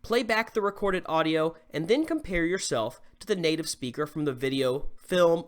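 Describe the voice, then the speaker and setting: Lively man with slight Southern accent. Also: on the high side. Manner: clear, deliberate teaching delivery with modeled examples. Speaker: English teacher. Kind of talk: scripted lesson to camera. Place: small home studio room.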